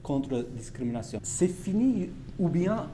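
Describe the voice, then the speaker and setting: A man's voice: fairly high pitch, very animated, a little slow, mellow, slight background noise. A male interviewer asking short, friendly questions and keeping the conversation moving. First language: Turkish. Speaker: interviewer, show host. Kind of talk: casual interview.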